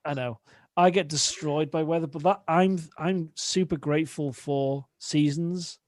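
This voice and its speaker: A man hosting a podcast over a call, his voice a little high for a man. He talks loosely and repeats his words while thinking aloud, worn out after a day on set. The sound is very dry and close. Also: a little slow, dry recording. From United Kingdom. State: tired after a day of shooting. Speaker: podcast host. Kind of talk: casual remote interview chat.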